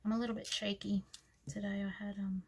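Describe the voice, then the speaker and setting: An Australian woman with a scratchy, croaky voice, chatting softly through her planner layout and trailing off into quiet asides. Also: medium pitch, little pitch movement, fairly quick. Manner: soft-spoken, musing, trails off into quiet asides. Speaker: planner vlogger. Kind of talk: casual planner walkthrough.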